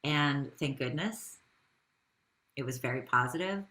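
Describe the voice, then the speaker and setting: A woman's voice: a bass voice, measured pace, pushed and bright. A woman speaking as a business founder in an interview, telling her story in a conversational way with rhetorical questions.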